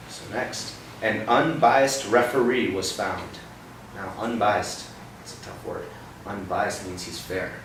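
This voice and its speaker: A young man reading a picture book aloud to a child, pausing often to ask gentle questions about the words. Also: lowish voice. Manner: expressive storybook reading broken by gentle teaching questions. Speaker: read-aloud host. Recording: roomy, distant mic with background noise.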